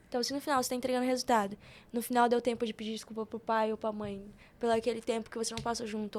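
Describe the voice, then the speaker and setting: Young woman, Brazilian Portuguese accent, higher-pitched voice, podcast guest, casual, slangy, emphatic. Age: young adult. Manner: animated, slangy, emphatic with repetition. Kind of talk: casual, chatty interview answers.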